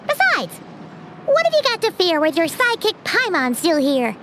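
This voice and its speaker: A woman's voice: very high voice, huge pitch swings, moderate pace, fairly bright tone, a little hiss or hum, full character voice. A woman voicing a tiny, chatty female companion sprite who talks about herself in the third person, in video game dialogue with music behind. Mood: cheerful.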